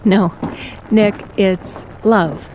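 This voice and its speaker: An actress playing a measured, insistent middle-aged mother who is a doctor, in an audio drama. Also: mid-range voice, background noise. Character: firm, pushy middle-aged mom, a doctor.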